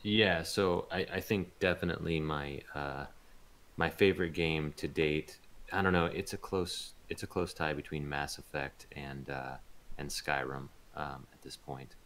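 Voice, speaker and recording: A man speaking as an interview guest in a casual, laid-back and halting way. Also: extremely low pitch, fairly even intonation, a bit bright, a little hiss or hum. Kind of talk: casual chat.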